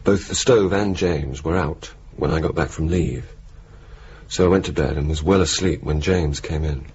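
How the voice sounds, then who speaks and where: An actor playing a wry young RAF night-fighter officer with dry, sardonic banter, in naturalistic radio drama style. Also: male voice, extremely low pitch, moderate pace, darkish tone, slightly rough, full character voice. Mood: wry, matter-of-fact. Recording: muffled, noisy old radio broadcast.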